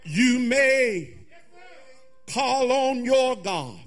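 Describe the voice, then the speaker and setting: A man preaching a fervent, musical sermon in a raspy, gravelly voice, in short, emphatic, repeated phrases that slide toward singing and shouting. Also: very high voice, expressive, faint music behind. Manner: emphatic repetition in short, drawn-out phrases with calls to the congregation.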